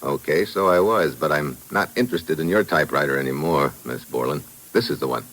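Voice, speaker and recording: An actor playing a tough, shrewd investigator in a 1950s radio thriller, with clipped, matter-of-fact delivery. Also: male voice, very deep voice, brisk, fairly bright tone. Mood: probing, brisk. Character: tough, shrewd male investigator narrating his own case. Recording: noisy old radio recording.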